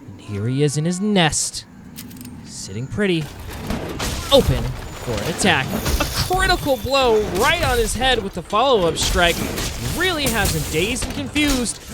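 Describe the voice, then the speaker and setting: Over clearly audible music, a man delivers dramatic game narration in a high-pitched voice. His delivery is animated and theatrical, rising and falling widely. He phrases deliberately and intensely, like a documentary narrator who is also the hunter. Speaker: gaming video narrator playing a monster hunter.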